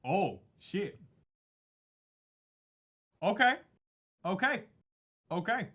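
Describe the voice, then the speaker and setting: A man acting as game master, improvising narration in a casual, halting way full of filler phrases and swearing. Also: sits high, very animated, pushed and bright.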